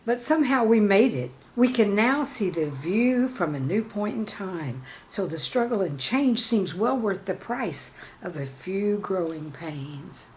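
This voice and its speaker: Slow-paced woman storyteller, noisy recording. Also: medium pitch, animated, a little mellow. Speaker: storyteller and channel host. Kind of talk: scripted storytelling and poem reading.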